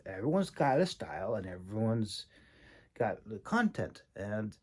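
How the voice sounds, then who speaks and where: A man giving an informal, rambling critique as a host, speaking haltingly with long pauses and repeated words. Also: fairly low pitch, very animated, darkish tone, husky. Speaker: host, independent fantasy writer and audiobook reader giving critique.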